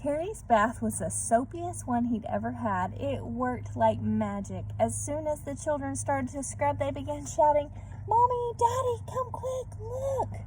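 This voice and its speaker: A woman reading a storybook aloud to children in a gentle manner, pausing to put questions to her young listeners. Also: high voice, lively intonation, low-quality recording. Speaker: read-aloud host for children. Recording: background noise.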